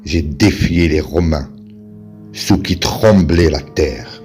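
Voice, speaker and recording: A man with a very deep voice recites verse solemnly over music. His delivery is slow and declamatory, leaving weighty pauses between short phrases. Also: darkish tone. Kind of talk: solemn dramatic poetry recitation.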